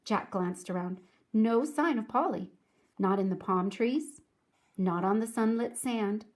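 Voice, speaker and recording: A woman reading aloud to children like a teacher, speaking clearly and deliberately in a storybook narration style. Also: mid-range voice, darkish tone. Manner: clear, deliberate, addresses young listeners.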